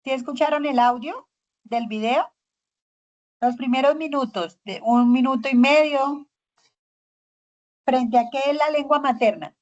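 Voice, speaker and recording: Teacher on a muffled video call. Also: female voice, high voice, unhurried, pauses often, a little mellow. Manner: prompting students by name, questioning. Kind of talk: online class discussion. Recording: dull lo-fi call audio.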